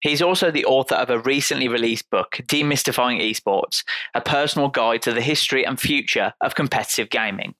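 A man's voice: medium pitch, fairly quick, bright, forceful tone, dry recording. A man presenting and interviewing as a podcast host. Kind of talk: scripted introduction and conversational interviewing.

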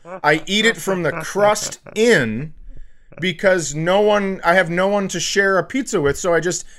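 A man's voice: high voice, measured pace, fairly bright tone. A middle-aged American man telling stories casually on a comedy podcast, profane and exasperated as he mocks himself. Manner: profane, exasperated self-mocking rants.

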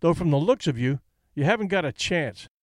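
A man reading an audiobook, voicing a gruff, impatient male fight promoter who snaps and blusters. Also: on the high side, lively intonation, a little fast, no room sound, close to an everyday voice. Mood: brusque. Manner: snapping, blustering.

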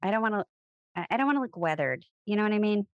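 A woman's voice: medium pitch, expressive, fast, dry recording, muffled recording. A woman chatting casually with a friend and co-worker, rambling and breaking off her sentences. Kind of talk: casual chat.

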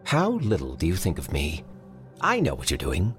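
A man voicing a tough, world-weary male rabbit who is a police chief, speaking gruffly. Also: sits low, wildly swooping pitch, moderate pace, soft background music, full character voice.

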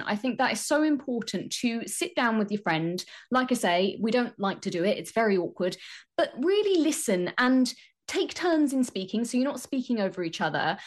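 A woman giving advice as a talk show guest, animated and conversational, acting out examples and posing rhetorical questions. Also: mid-range voice. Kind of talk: conversational radio discussion.

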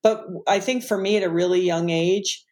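Podcast co-host, mid pitch, reflective, halting delivery. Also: steady tone, darkish tone. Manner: thoughtful, hesitant, searching for words. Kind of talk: casual conversation.

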